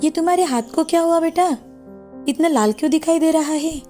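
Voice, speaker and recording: A woman's voice: very high voice, fairly quick, warm tone, pitched above the performer's own voice. A woman voicing a caring, concerned village mother in a cartoon moral story. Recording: background music under the voice.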